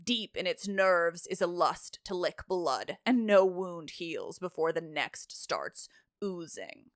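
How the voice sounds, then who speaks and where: A woman's voice: on the low side, animated, takes it slowly, no room sound. A defiant queen out of ancient tragedy, put on by a woman telling the tale. She speaks with scorn and vengeful drama. The sound is dry and close. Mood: dark, vengeful.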